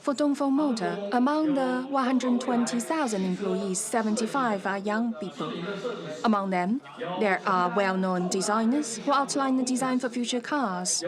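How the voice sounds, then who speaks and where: A woman interpreter delivering a formal speech in measured, unevenly broken phrases. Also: on the high side. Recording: loud crowd noise behind voice. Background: loud crowd sound.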